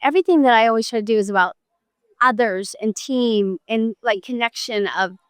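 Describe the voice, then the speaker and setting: A woman interviewer with an American accent and a fairly high, very clear voice. She chats informally and with enthusiasm, in a dry recording. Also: fairly quick, no room sound. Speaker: interview host. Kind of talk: casual chatty interview.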